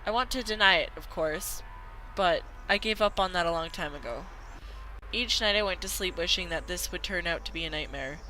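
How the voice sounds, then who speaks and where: A woman hosting a gaming video, reading a visual novel aloud haltingly, stumbling and correcting herself. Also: lowish voice, pushed and bright, soft background music, rough recording.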